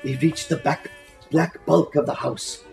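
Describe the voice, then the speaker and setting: A man reads a literary story aloud in a dramatic, lyrical way. He takes his time over the phrases. The room adds a clear echo around his fairly high voice. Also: fairly even intonation, a little mellow. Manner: dramatic, lyrical, unhurried delivery. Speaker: storyteller reading aloud at a live reading. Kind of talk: expressive literary story reading. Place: indoor reading in a bookshop. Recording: roomy echo, faint music behind.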